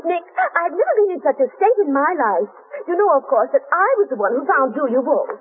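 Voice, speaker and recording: High, fluttery, melodramatic society mother. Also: sing-song, brisk. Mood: agitated. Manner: gushing, theatrical, imperious. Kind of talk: 1930s-40s radio drama acting. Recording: muffled old radio broadcast recording.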